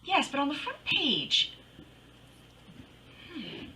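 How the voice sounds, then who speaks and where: A woman's voice: sits high, huge pitch swings, slow, bright, forceful tone, exaggerated voice. A woman playing a wisecracking, breezy female private detective in old-time radio style, with wry, slangy delivery. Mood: dry, wisecracking. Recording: distant microphone.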